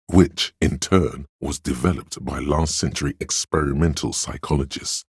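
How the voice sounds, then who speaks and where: A man voicing a video game's know-it-all inner voice of encyclopedic trivia, erudite and measured, with a gravelly, husky, very deep delivery. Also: no room sound, studio quality, full character voice. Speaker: video game voice actor. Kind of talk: scripted game narration.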